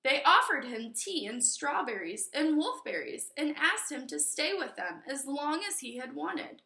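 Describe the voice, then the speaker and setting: A woman reading a children's storybook aloud in an expressive, teacherly way, with explanatory asides. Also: high-pitched, a little slow, gravelly. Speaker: storybook reader. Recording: echoey room.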